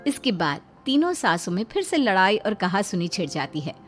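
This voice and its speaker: A woman's voice: mid-range voice, big pitch swings, normal speed, soft background music. A woman narrating a scripted cartoon story.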